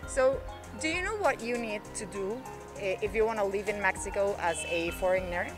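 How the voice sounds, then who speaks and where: A woman's voice: mid-range voice, expressive, normal speed. A female street reporter interviewing passersby and asking questions in an upbeat way in two languages. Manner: upbeat, switches between Spanish and English when asking questions. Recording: music playing underneath.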